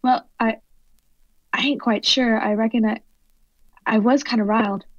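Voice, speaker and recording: A woman's voice: on the high side, fairly even intonation, normal speed, no echo, lightly acted. A woman playing a rattled, talkative rural farm owner with a folksy, rambling manner in a radio play. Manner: folksy rustic drawl, rambling. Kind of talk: radio drama acting. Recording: dull, dry lo-fi audio.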